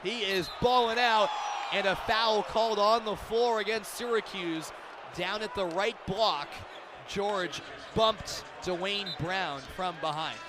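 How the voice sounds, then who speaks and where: A high-voiced male radio announcer delivers live basketball play-by-play in an excitable manner. Clear arena noise and bouncing balls sit behind him as he follows the action. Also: slow. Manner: excitable, building to loud calls on big plays. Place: courtside in a large indoor arena. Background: arena ambience, basketball bounces.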